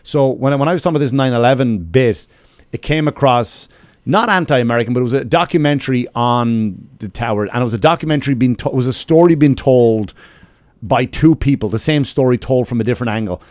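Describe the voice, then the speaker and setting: Fast-talking, emphatic Irish man. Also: medium pitch, darkish tone, no echo. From Ireland. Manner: emphatic, heavy swearing. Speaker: comedian. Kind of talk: casual podcast storytelling. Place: small podcast studio. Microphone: close studio microphone with headphones.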